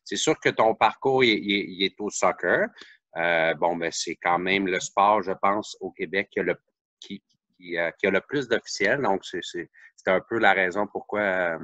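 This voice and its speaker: A man's voice: deep voice, moderate pace, bright, forceful tone, dry recording. A man with a Québécois accent hosting a podcast, chatting casually and searching for words with frequent restarts. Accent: Québécois French. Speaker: podcast host. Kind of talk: casual interview chat.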